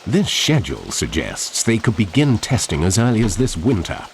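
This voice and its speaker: A brusque special operations colonel in a game cutscene. His British-accented voice is very deep and swings widely, haughty and authoritative. There is faint noise behind. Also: wildly swooping pitch, normal speed. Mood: grave. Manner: authoritative, indignant at outsiders. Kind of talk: video game cutscene acting.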